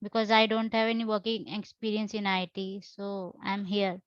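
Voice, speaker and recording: A woman from India introducing herself over a video call, talking in a halting, hesitant way with many pauses. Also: mid-range voice, little pitch movement, smooth, dry recording, highs cut off. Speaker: course participant. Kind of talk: self-introduction.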